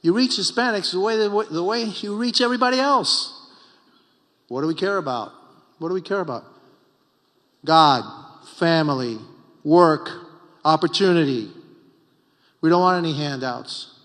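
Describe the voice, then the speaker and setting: A man's voice: fairly high pitch, normal speed, long pauses, a bit bright, long reverb tail. A middle-aged Cuban-born man, a congressman and former mayor, giving emphatic, repetitive panel remarks at a political retreat. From Cuba.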